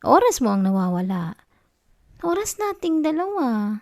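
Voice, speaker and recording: Woman acting slow, mellow, needy Filipino wife. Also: high voice, sing-song, exaggerated voice. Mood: scolding, concerned. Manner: soft, coaxing, pouty, slipping into whispers. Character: needy, affectionate wife, sulky yet tender and teasing. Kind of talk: intimate ASMR girlfriend-style roleplay.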